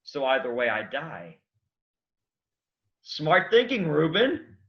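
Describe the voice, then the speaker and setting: A man's voice: a little high, big pitch swings, takes it slowly, full character voice. A man playing an earnest, argumentative man who wants to die, in a staged reading with dull sound. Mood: anxious, irritated. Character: earnest, argumentative, despairing man set on dying.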